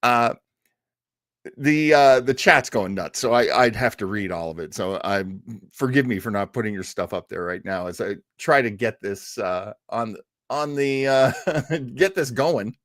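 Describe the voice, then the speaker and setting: A man's voice: on the low side, unhurried, dry recording, studio quality. A man hosting a live show in a casual, chatty, self-deprecating way, often wandering off on asides. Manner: animated, giggly, self-deprecating, digressive. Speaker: show host. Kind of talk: casual live-stream chat.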